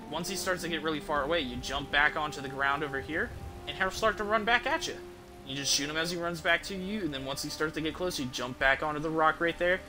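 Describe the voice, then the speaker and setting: A man giving casual, rambling video game commentary, explaining things as he plays and swearing offhandedly. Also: on the high side. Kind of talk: casual gameplay commentary with tips.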